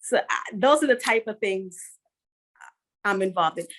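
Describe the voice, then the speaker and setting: A woman's voice: mid-range voice, animated, normal speed, a bit bright. A middle-aged woman from Guyana, a guest on an interview podcast, talking in an earnest, upbeat way and repeating points for emphasis. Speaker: guest, business consultant.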